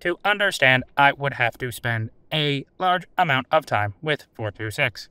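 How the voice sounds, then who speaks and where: Audio drama casting: male actor as aging sentient computer, formal, literal, stilted delivery, slow pace, dry close sound. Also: mid-range voice, lively intonation, bright, forceful tone, clean tone, dry recording. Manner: stilted, formal, deliberate phrasing without contractions. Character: old sentient AI computer, formal, literal, dryly attempting humor. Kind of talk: scripted audio drama interview.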